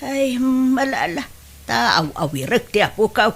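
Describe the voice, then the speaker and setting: A woman's voice: on the high side, big pitch swings, a little slow, exaggerated voice. A woman with an Ilocano Filipino accent playing a dismayed, lamenting grandmother in an audio drama. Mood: dismayed, scolding. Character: dismayed, lamenting mother of a grown son, a grandmother.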